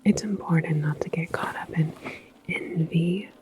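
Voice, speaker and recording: Woman, breathy whisper, very slow pace, low-pitched, calm philosophical sci-fi attendant, reassuring ASMR roleplay. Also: rough recording. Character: calm, philosophical attendant at a futuristic portal station. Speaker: ASMR roleplayer playing a transit station attendant.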